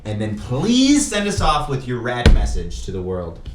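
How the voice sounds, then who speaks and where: A man's voice: mid-range voice, huge pitch swings, measured pace, very noisy. A man hosting a podcast in casual, slangy, informal chat with some swearing. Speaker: podcast host. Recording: echoey room, music in background.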